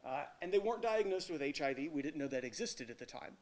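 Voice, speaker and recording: A man with a cold giving an informal, interactive university lecture in a loud voice that drops into quiet asides. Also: a little high, expressive, fast. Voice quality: loud, projecting voice. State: has a cold. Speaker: guest lecturer, medical researcher. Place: lecture hall. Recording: echoey lecture hall.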